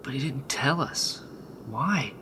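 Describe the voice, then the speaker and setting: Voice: medium pitch, lively intonation, slow, sharp tone, slight background noise. An actor playing a grieving, principled rebel fighter in a sci-fi radio drama, speaking with earnest, pleading intensity. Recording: music behind the voice. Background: music.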